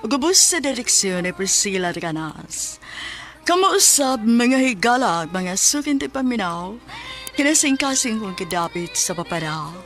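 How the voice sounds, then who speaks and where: A woman's voice: medium pitch, very animated, a little slow, a bit bright. A woman with a Cebuano accent hosting a radio drama, reading scripted announcements. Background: music.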